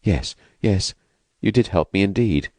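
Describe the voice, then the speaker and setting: A man voicing a frightened, fragile young woman who has escaped from an asylum, speaking softly and hesitantly. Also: low-pitched, animated, a little slow, dry recording, pitched above the performer's own voice. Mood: fearful, wistful. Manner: hesitant, vacant, soft-spoken.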